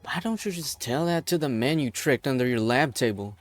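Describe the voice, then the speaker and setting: A man's voice: medium pitch, lively intonation, fairly quick, soft background music, lightly acted. An amateur actor playing a grim, weary pioneer leader, a desperate and disillusioned man, in a dramatic game fan dub. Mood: urgent, angry.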